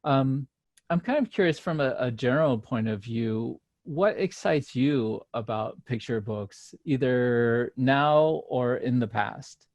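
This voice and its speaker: An event host interviewing a guest in a conversational way, with hesitant, halting phrasing. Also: medium pitch, animated, darkish tone, no room sound, lo-fi sound.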